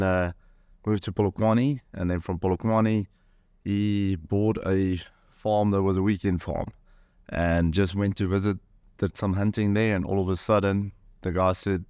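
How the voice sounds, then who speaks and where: A man in his thirties with a South African accent, a hunter telling his story as a podcast guest in a relaxed, rambling way. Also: low voice, clear, resonant tone, no room sound, clean studio sound. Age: 30s. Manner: rambling, many fillers and "pretty much".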